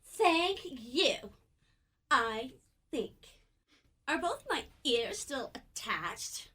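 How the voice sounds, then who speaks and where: A high, brassy, ditzy model. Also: female voice, sing-song, extremely slow, pushed and bright, slightly rough, heavily acted. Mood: whiny, dazed. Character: coy, brassy, dim young female artist's model. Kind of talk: comic radio play acting.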